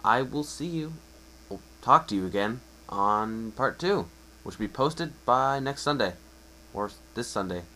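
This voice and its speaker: A man's voice: mid-range voice, expressive, bright, forceful tone, poor audio. A man narrating a fan story as an amateur reader, often stumbling and correcting himself. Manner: halting, self-correcting delivery.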